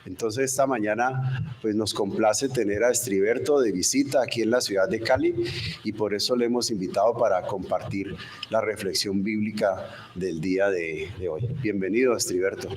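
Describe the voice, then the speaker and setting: A man formally introduces the guest preacher at a seminary chapel, stopping often to fill pauses as he goes. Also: lowish voice, a little mellow, slight background noise. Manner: halting, with many filler pauses. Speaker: seminary host introducing the speaker.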